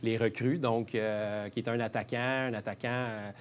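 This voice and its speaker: A man's voice: fairly low pitch, flat delivery, moderate pace, slight background noise. A man with a Quebec French accent, explaining things as a guest in an informal sports interview, with hesitant phrasing. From Quebec, Canada. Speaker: hockey team general manager, interview guest.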